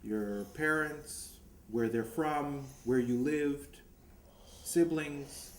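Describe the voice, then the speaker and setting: A man conducting an oral history interview, asking friendly, slightly halting questions. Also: mid-range voice, reverberant, slight background noise.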